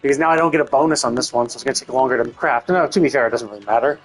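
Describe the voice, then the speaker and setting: A man's voice: medium pitch, racing, soft background music, low-quality recording. A man doing casual live game commentary, thinking aloud in a rambling way and swearing casually.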